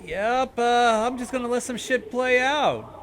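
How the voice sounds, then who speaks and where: Male host with a high-pitched voice, informal, sweary, rambling explanations, slight noise behind him. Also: expressive, unhurried, fairly bright tone. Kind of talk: casual explanatory commentary.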